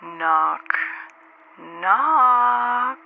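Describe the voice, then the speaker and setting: Menacing slow radio villainess. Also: female voice, on the high side, expressive, full character voice. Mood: menacing, taunting. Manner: slow, theatrical, drawn-out. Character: menacing taunting pursuer over the radio, eerie and theatrical. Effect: crackling radio transmission filter. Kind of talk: radio drama acting. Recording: tinny, fuzzy radio transmission.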